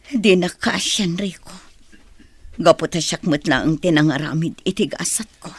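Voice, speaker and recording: A woman's voice: fairly low pitch, moderate pace, breathy edge. A woman acting a sorrowful, regretful mother in a radio drama, plaintive and subdued, with an Ilocano accent. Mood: regretful, sad. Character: sorrowful, regretful mother longing for her child.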